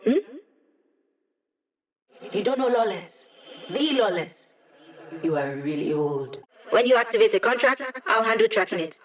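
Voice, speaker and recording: A woman's voice: mid-range voice, natural voice. An actress playing a wry young female hacker who supports the team with dry, sarcastic quips in game voice lines. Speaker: video game character.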